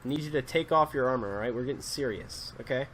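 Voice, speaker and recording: A man's voice: medium pitch, quick pace, a bit bright, slight background noise. A young man hosting a Minecraft series in casual chat, playing a bossy, exasperated detective with lots of ums and uhs. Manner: filler-heavy, exasperated bossy detective act. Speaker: channel host and Minecraft roleplayer. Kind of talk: casual let's-play chat and improvised roleplay.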